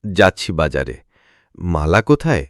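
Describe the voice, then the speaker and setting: A man's voice: sits low, sing-song, normal speed, no room sound, clean studio sound. A man playing a worried middle-aged village father in a cartoon fairytale. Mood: resigned. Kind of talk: Bengali cartoon fairytale dialogue.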